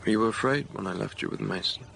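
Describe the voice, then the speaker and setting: An actor playing a commanding, brooding gentleman with a dark secret in a period radio drama, speaking tersely. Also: on the low side, fast talker, vocal fry, slight background noise. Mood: brooding, intimate.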